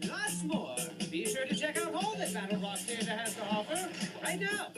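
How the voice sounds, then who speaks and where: High-pitched manic cartoon storyteller. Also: male voice, very animated, normal speed. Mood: grandiose, salesy. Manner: melodramatic, swooping from cooing to yelling. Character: manic, theatrical, mock-sweet male storyteller and announcer. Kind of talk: comic cartoon game narration. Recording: distant sound under loud music. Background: loud music.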